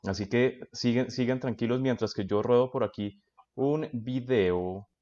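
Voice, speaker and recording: A man's voice: medium pitch, unhurried, dark tone. Man hosting a streamed interview. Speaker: host. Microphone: video call. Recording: slightly dull video call audio.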